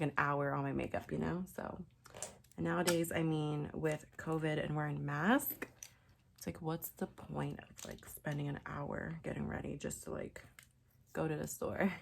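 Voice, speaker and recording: Young female beauty vlogger, raspy and a bit stuffy, low-ish gravelly voice, rambling casual delivery. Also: a little hiss or hum. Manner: chatty, rambling with many fillers. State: raspy from a sinus infection. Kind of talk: casual makeup tutorial.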